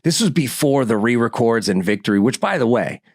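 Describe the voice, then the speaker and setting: Male host, mid pitch, dry close mic, relaxed chatty questioner. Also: fairly quick, no echo. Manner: chatty, asks follow-up questions. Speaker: podcast host. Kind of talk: casual interview.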